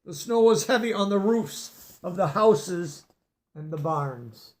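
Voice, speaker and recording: A man's voice: sits high, lively intonation, slow. A man reading a picture book aloud with expression, stopping now and then to explain words for young listeners. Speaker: storybook reader.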